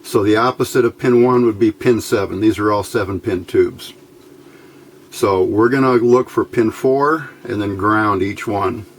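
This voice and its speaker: Male hobbyist instructor, casual and very unhurried step-by-step delivery, faint noise behind the voice. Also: on the low side. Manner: unhurried, thinking aloud. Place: indoors at a workbench by a window.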